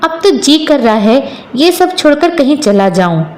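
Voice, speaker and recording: Woman as moody village husband, high-pitched. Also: big pitch swings, unhurried. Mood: despairing, bitter.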